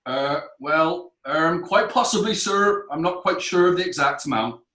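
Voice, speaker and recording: A man's voice: fairly high pitch, lively intonation, unhurried, sharp tone, reverberant. A man playing a fawning rich defendant. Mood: flustered. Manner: hesitant, ingratiating. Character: wealthy male clothier defendant, fawning. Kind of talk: amateur audio drama acting.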